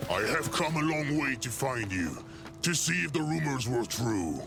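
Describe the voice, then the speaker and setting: A man's voice: mid-range voice, sing-song, moderate pace, vocal fry. A man playing a world-weary older orc warchief in solemn fantasy game dialogue. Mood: commanding. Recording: loud music under the voice. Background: loud music.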